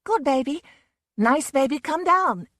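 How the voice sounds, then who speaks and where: A woman voicing a headstrong young woman with a shrill, insistent, stubborn way of talking. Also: big pitch swings, moderate pace, a bit bright, dry recording, studio quality. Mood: coaxing. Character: headstrong young woman chasing her pet.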